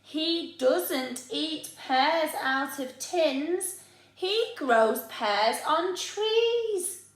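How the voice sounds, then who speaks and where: A woman voicing a young storybook boy who is proud of his grandpa and stubbornly unimpressed, in a slow, exaggerated delivery. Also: sits high, lively intonation, voice pushed up, heavily acted. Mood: boastful. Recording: echoey room.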